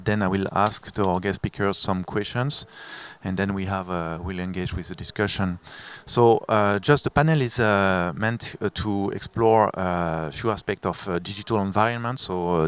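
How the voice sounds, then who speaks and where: A man moderating a conference panel, talking haltingly with many fillers and restarts. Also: fairly low pitch, a bit bright, smooth.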